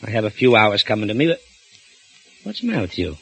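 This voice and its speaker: An actor playing a gruff, suspicious policeman husband in a 1940s radio drama, brusque and sarcastic. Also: on the low side, very animated, fast talker, fairly bright tone, a little hiss or hum, low-quality recording.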